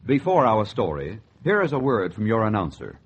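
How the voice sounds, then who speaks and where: A man's voice: fairly low pitch, wildly swooping pitch, normal speed. A man announcing a 1940s radio series in a portentous, theatrical style. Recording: noisy, muffled 1940s radio recording.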